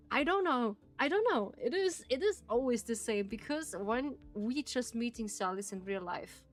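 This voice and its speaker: A woman with a German accent, a native German speaker, streaming and reading a game aloud in careful phrasing with frequent laughs. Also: fairly high pitch.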